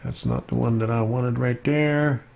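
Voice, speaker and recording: Slow-talking man hosting a live stream. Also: medium pitch, steady tone, vocal fry, a little mellow. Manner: slow, unhurried, with many fillers while working on screen. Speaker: host of a model railroading live stream. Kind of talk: casual live-stream chat.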